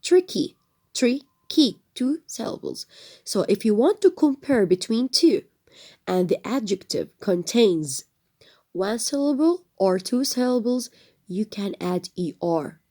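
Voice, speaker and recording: A woman teaching an English grammar lesson, explaining patiently with frequent fillers and trailing into a soft whisper at phrase ends. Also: a little high, big pitch swings, takes it slowly. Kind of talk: recorded textbook lesson.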